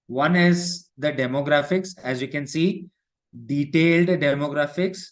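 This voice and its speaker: A man with an Indian English accent explains software step by step as an instructor in a live online lesson, often adding check-in tags. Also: on the high side, unhurried. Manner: repetitive check-in tags like "right" and "okay". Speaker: digital marketing instructor.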